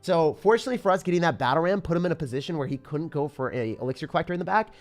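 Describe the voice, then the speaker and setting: A young man from the Boston area streaming a game live, chatting with viewers, excitable and laughing often. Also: on the high side, very fast, soft background music. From Boston area, United States. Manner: excitable, laughs often, reads chat questions aloud. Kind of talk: live-stream gameplay commentary.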